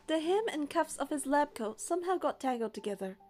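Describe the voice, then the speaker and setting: Young female playthrough narrator, high register, scripted story reading with faint music behind. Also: animated, fairly quick, darkish tone. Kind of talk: scripted narration and dialogue read aloud.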